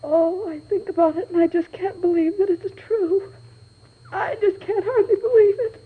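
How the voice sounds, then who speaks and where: A woman's voice: very high-pitched, steady tone, normal speed. An actress in an old radio drama playing a chatty, shaken cleaning woman who rambles in folksy, ungrammatical speech. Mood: distraught, tearful. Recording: muffled vintage radio recording.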